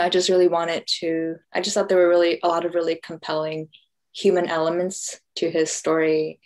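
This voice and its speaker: An American woman giving thoughtful, halting explanations as an author interviewed about her book. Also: sits low. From United States. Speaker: author and journalist being interviewed. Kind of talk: conversational book talk interview.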